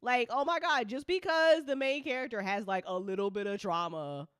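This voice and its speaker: A woman's voice: fairly high pitch, normal speed, a bit bright, clean tone, no echo, studio quality. A woman hosting a casual after-show chat in a playful, teasing, emphatic manner with some swearing. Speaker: host and show creator.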